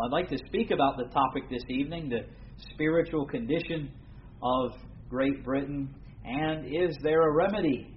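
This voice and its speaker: Earnest American man preaching a sermon. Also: on the high side. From United States. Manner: earnest, formal, with frequent pauses and fillers. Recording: dull lo-fi recording with faint room noise.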